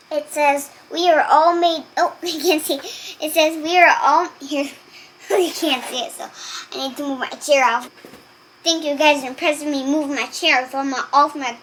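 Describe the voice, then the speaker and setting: A young girl reading aloud and chatting to viewers in a halting, deliberate way. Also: high-pitched, fairly bright tone, a little hiss or hum. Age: child.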